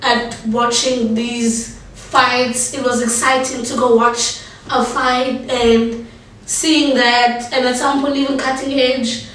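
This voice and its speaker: A young South African woman talks about her life in an easy, rambling way, full of fillers. Her voice sits a little high but barely moves. She is heard from a distance, in a very echoey room. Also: little pitch movement, takes it slowly, a bit bright. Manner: loose, filler-heavy storytelling with many likes and ums. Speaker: rapper and guest. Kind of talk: casual conversation. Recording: roomy space, distant mic.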